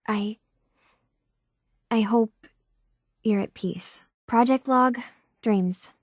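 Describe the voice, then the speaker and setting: Troubled young game heroine, earnest, halting, hushed, searching, dry studio-like sound. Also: female voice, medium pitch, dry recording. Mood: grieving, tender, then pensive, uneasy. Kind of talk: video game voice acting.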